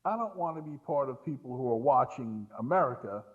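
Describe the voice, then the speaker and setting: A man's voice: medium pitch, lively intonation, brisk, narrow, tinny audio. A man talking casually about films as a podcast guest, in an incredulous, emphatic way, often repeating words for emphasis. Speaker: podcast guest and film commentator. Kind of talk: casual film discussion.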